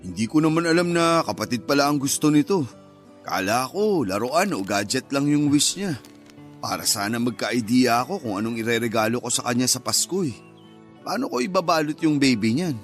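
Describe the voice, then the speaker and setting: A man's voice: mid-range voice, expressive, brisk, close to an everyday voice. A man playing a doting, joking father of a young girl in a radio drama, talking playfully and coaxingly. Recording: music bed under the voice.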